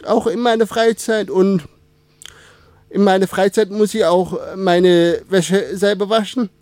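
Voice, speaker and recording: A young man with Turkish as his first language talks at an unhurried pace in a high, soft-edged voice. He stops, restarts and fills gaps often, like a casual guest feeling his way through questions. The sound is dry and close. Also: fairly even intonation, dry recording. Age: 20s. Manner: halting, many fillers and restarts. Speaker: radio guest. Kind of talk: casual interview.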